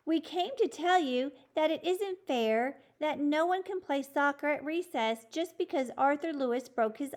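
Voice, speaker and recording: A woman's voice: high voice, pitched above the performer's own voice. A woman voicing an earnest, insistent schoolboy. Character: Julio, earnest schoolboy speaking up bravely to principal.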